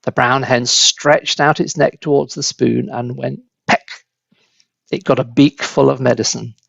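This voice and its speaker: A man narrating a children's book as an expressive storyteller, in a slightly dull, close recording. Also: medium pitch, unhurried, gravelly, no echo.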